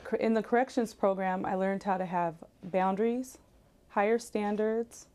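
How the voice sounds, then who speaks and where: A woman's voice: medium pitch, fairly even intonation, moderate pace, darkish tone. A woman, a college student, giving an earnest personal testimonial in an interview.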